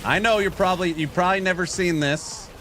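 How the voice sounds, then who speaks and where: A man's voice: high-pitched, a little fast, pushed and bright, a little hiss or hum. A man in his late thirties from California, streaming a game and joking around as he reads viewer chat aloud. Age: late 30s. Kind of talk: casual livestream chat while gaming.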